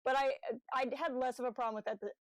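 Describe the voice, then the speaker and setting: Lady cohosting a podcast, voice a little high, super dry recording, just casually chatting thru a review. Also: steady tone, fast, dry recording. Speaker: podcast co-host.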